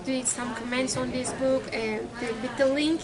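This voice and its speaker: A woman describes lessons she observed, her voice a touch high. She pauses often and searches for words. A dense wall of crowd chatter surrounds her. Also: steady tone. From Turkey. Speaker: English teacher. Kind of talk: interview answers. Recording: very loud crowd chatter behind.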